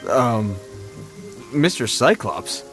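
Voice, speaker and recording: Well-meaning patrol guard, formal, hesitant, expressive pitch swings, video game, background music. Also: male voice, very slow. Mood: awkward, resigned.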